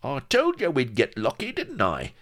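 A man's voice: medium pitch, wildly swooping pitch, moderate pace, a bit bright, voice pushed up. A man voicing an impatient, cynical pirate rogue in an audiobook, blustering and exasperated. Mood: gloating. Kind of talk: audiobook character acting.

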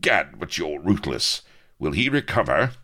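A man's voice: high voice, huge pitch swings, slow, a bit bright, pitched above the performer's own voice, heavily acted. A man voicing a gallant, melodramatic pirate hero in a comic audiobook, florid and declamatory. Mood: aghast. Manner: florid, declamatory, archaic speech.

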